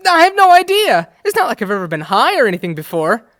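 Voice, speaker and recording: A woman voicing an excitable male cheetah judge who talks in a theatrical, flippant way. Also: fairly high pitch, fast, dry recording, studio quality. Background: background music.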